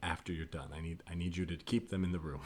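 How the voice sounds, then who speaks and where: A man's voice: low voice, fairly even intonation, quick pace. A man playing an earnest, awkward activist band frontman in improvised comedy, hesitant and repeating himself as he pleads. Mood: pleading, uneasy.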